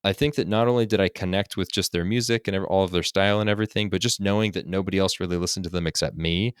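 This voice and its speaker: Deep, smooth-voiced man, easygoing. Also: fairly even intonation, rapid-fire, dry recording, studio quality. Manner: easygoing, hesitant, many likes and I don't knows. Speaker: podcast co-host. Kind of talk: casual podcast conversation.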